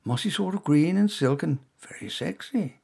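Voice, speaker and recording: A man reading his own short story aloud as first-person narration in a wry, expressive manner. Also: fairly high pitch, moderate pace, vocal fry, husky.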